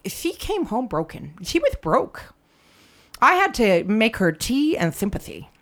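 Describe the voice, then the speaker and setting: A woman's voice: fairly high pitch, huge pitch swings, measured pace. A woman co-hosting a comedy podcast; she is phlegmy with a cold and chats loosely, restarting her sentences. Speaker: podcast co-host. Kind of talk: casual comedic chat.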